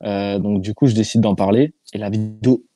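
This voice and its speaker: A man's voice: fairly low pitch, fairly even intonation, a little fast, mellow. A man from France, a YouTuber guest, answering interview questions over a video call in a hesitant, slangy way. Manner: hesitant, many fillers and restarts, loose slang.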